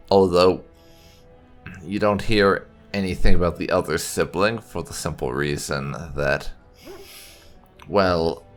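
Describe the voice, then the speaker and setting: A man hosting a video game playthrough, explaining things in halting, unscripted commentary as he thinks aloud. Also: sits low. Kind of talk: unscripted let's-play commentary.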